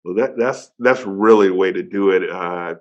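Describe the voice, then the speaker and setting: A man in his thirties with a deep voice, hosting a casual interview and praising his guest with slang. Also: moderate pace, muffled recording. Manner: enthusiastic, slang-heavy praise. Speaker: podcast host.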